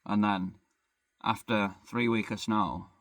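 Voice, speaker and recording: A man's voice: on the low side, fairly even intonation, slow, fairly bright tone, dry recording, clean studio sound. A young man, rambling in a casual van-life vlog, swearing freely and joking about himself. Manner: rambling, swears freely, self-deprecating jokes. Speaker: vlogger. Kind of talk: casual chat. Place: living in a van.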